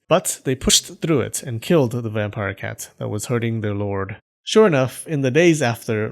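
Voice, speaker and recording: A man narrating a scripted folktale in a humorous storytelling style, with deadpan joking asides. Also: medium pitch. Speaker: storyteller, channel host.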